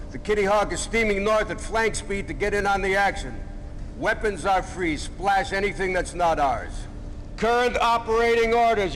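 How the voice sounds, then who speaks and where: An actor playing a brisk navy squadron commander in a game cutscene, giving clipped, authoritative orders. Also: male voice, high-pitched, slight background noise, close to an everyday voice, low-quality recording. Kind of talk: military briefing acting. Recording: music under the voice.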